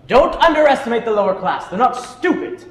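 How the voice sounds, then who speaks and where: Guy acting opinionated history teacher in a stage play, lively high voice, echoey hall. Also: fairly quick. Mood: insistent. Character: earnest, opinionated male history teacher defending his methods. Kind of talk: amateur stage drama acting. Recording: echoey hall, faint noise.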